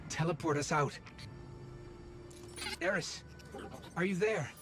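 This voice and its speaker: A small, loyal robot sidekick from a video game. The male voice sits a bit high and sounds anxious. Loud music runs behind it. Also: takes it slowly. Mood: anxious, urgent.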